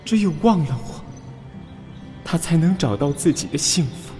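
A gentle young man near death, mellow and slightly high, speaking with mournful tenderness. Long reverb surrounds the diary voice-over, with soft music. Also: expressive, normal speed. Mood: tender grief. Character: gentle dying young man, sorrowful, devoted lover. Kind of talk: voice-over diary reading in audio drama.

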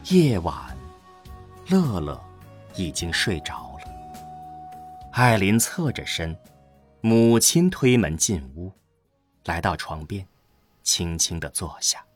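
Slow male narrator with animated pitch. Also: soft background music. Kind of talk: scripted audio drama narration.

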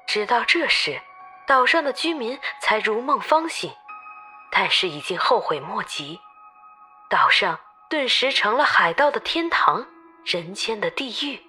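Bright, animated female tour guide, acted by a woman. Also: a little high, measured pace, faint music behind, heavily acted. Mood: dramatic storytelling. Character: lively female tour guide telling an island legend to travelers. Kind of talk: dramatized storytelling in an audio novel.

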